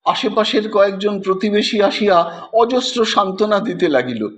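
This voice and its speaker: A man narrating a literary story in an expressive, theatrical manner, as the host of an audio story series. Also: high-pitched, normal speed. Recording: dull lo-fi track with faint noise.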